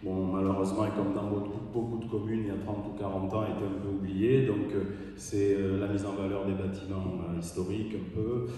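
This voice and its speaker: A man's voice: lowish voice, flat delivery, a little fast, hardly any pauses, mellow. A man speaking as mayor at a welcome ceremony, hesitant, with many fillers and restarts. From France. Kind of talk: welcome speech. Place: town hall ceremony room. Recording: echoey hall from far off.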